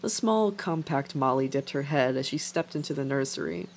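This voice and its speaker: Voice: medium pitch, animated, moderate pace. A narrator reading an animal adventure story as scripted narration. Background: faint background music.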